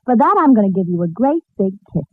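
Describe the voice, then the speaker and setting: An actress playing an affectionate, doting young sweetheart in a 1940s radio comedy, with tender theatrical delivery. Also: female voice, mid-range voice, sing-song, fast talker, slightly rough. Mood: tender, loving. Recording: scratchy, tinny old-time radio recording.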